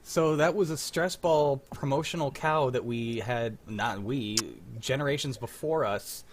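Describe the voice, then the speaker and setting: A man's voice: medium pitch, measured pace, crowd noise. A man hosting a casual livestream chat in a wry, teasing way, with some mild swearing. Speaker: host of a livestream.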